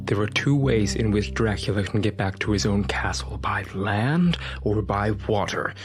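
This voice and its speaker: A man's voice: lowish voice, moderate pace, lightly acted. Man acting an intense, grave vampire-hunting doctor. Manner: intense, urgent, theatrical. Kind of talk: audio drama acting, dramatic first-person narration. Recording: dramatic music under the voice.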